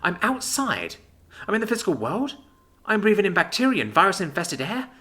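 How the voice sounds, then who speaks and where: Man putting on a fretful young shut-in woman, high voice, precise, bright tone. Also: huge pitch swings, brisk, full character voice. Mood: horrified. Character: fussy reclusive young woman, anxious and precise. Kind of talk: audiobook character voice.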